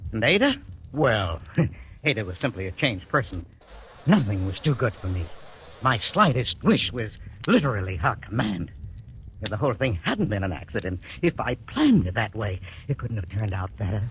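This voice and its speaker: An actor playing a timid, henpecked husband in a 1940s radio drama, with a hesitant, flustered manner. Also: male voice, lowish voice, sing-song, moderate pace, a bit bright, a little hiss or hum. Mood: contented. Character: timid, henpecked husband, a nervous dreamer telling his tale. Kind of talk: 1940s radio acting, first-person narration and dialogue. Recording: old radio broadcast with music.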